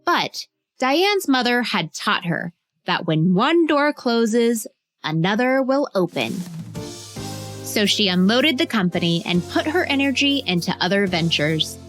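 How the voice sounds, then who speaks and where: A woman reads a scripted story as a podcast narrator. Her delivery is lively and varied in pitch, taking its time and leaning deliberately on key words. Music plays behind her. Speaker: narrator and host of a children's biography podcast.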